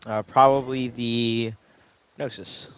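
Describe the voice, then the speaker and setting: A man's voice: medium pitch, steady tone, slow, smooth, low-quality recording. A man giving casual, slangy reaction commentary as a video game streamer, often in muttered asides.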